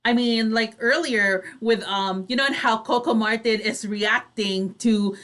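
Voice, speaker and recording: A woman co-host with a fairly high voice joins in casual talk show banter. She chimes in supportively. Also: unhurried, a bit bright.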